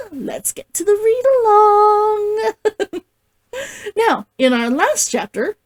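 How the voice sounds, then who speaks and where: A woman hosts an online read-along with an expressive, animated delivery. Her voice sits on the high side and moves widely in pitch. She sounds like an author sharing her work with viewers. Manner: giggly, gushing, expressive. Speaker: host, author reading along. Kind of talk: casual livestream chat and novel read-aloud.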